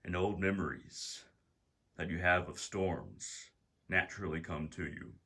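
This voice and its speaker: A man narrating a guided meditation as its guide, in deliberate, measured phrases with pauses. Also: deep voice, steady tone, sharp tone, slightly rough.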